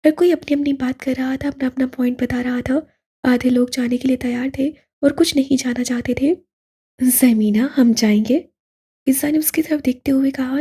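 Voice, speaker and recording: Very breathy high-voiced woman narrator. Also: warm tone. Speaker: audiobook narrator. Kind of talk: dramatic scripted narration of an Urdu romance novel.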